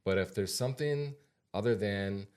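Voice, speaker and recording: A man with a low-pitched American voice hosts a casual podcast. He speaks like an advisor chatting with listeners, repeating key points for emphasis in an informal way. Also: normal speed, darkish tone, clean tone. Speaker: podcast host and financial advisor.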